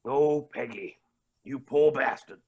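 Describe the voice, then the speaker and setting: A man playing a flamboyant, menacing and unhinged billionaire villain in a staged play reading, with a raspy, creaky voice and grandiose delivery. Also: medium pitch, slow, lo-fi sound. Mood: mocking menace. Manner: theatrical, grandiose, swinging from mutters to shouts.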